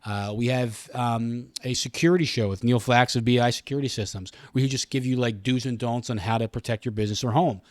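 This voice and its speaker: A man's voice: medium pitch, steady tone, fairly quick, hardly any pauses, no room sound. A middle-aged man with an American accent, explaining things earnestly in a casual interview and often doubling back on his phrases. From Pennsylvania, United States. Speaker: radio station communications director, podcast guest.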